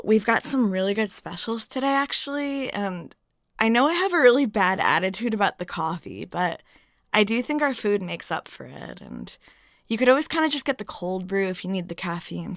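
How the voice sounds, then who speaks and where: A woman plays a flirty, friendly waitress in an intimate one-sided roleplay. Her talk is casual, chatty and giggly, slipping into breathy near-whispers with a creaky fry. The recording is close and dry. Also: mid-range voice, dry recording. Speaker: audio roleplay performer. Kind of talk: intimate ASMR-style roleplay monologue.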